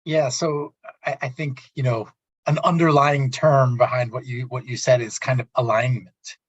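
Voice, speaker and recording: A man hosting a radio interview in a conversational way, haltingly repeating and restarting his words as he sums up. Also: medium pitch, fairly even intonation, breathy edge. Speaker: radio talk show host. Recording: muffled, roomy, echoey audio.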